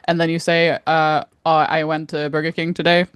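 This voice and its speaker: Woman, low voice, casual podcast chat, informal, rambling, dry recording. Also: level tone, moderate pace, smooth, no echo. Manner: informal, rambling, frequent 'like', mild swearing. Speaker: podcast co-host.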